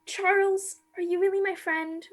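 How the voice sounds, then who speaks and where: Woman acting a high-voiced, wistful young woman. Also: level tone, moderate pace. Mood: dreamy, longing. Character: wistful, naive young woman, romantic, secretly longing to escape. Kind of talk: radio play acting.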